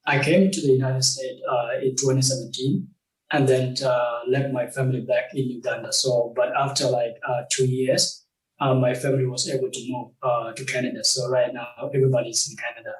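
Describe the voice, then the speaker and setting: A young man from South Sudan, a game company founder, talking as an interview guest in a halting way, with many fillers and restarts. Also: mid-range voice, little pitch movement, echoey room, recorded from a distance, poor audio. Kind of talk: casual interview conversation.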